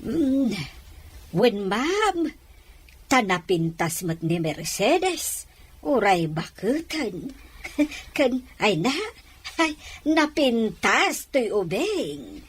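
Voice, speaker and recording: A woman's voice: on the high side, sing-song, drawn-out words, pauses often, breathy edge, full character voice. A woman with an Ilocano accent acting a deferential, reassuring older household nanny in an audio drama.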